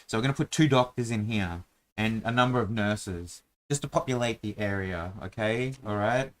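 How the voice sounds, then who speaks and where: A man's voice: on the low side, normal speed. A man hosting a casual chat while drawing a dungeon map, talking in a playful, rambling, self-mocking way.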